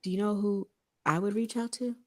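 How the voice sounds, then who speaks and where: A woman with a Texas accent co-hosting a casual radio talk show, speaking emphatically and deliberately. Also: medium pitch, steady tone, dark tone, no room sound, studio quality. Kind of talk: casual chat with promotional read-outs.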